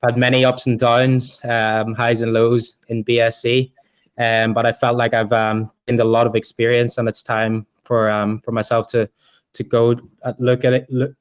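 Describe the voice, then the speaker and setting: A man's voice: mid-range voice, clear, resonant tone. A man from Ireland with an Irish accent, a project co-founder speaking as a guest, hesitant with many ums and restarts. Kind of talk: casual interview talk.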